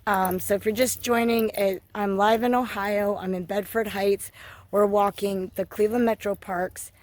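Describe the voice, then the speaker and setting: A woman with a hoarse voice from a cold, chatting casually as she hikes a wooded trail with her dogs, filling pauses with lots of ums. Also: mid-range voice, fairly even intonation, darkish tone, dry recording. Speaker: hiking vlogger. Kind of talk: casual walking vlog.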